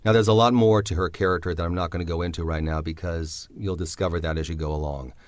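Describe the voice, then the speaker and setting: A man with a very low voice answers interview questions about his own writing. He speaks reflectively and often hesitates, and the sound is dry and close to the mic. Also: steady tone, quick pace, no room sound. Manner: reflective, hesitant, many fillers. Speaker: writer of the drama.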